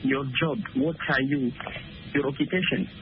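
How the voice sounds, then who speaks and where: A man in his twenties, a student calling in, speaking haltingly with repeated words. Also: mid-range voice, fairly even intonation. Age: 20s. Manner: hesitant, halting speech with repeats. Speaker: student caller. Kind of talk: casual chat. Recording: tinny phone line with hiss.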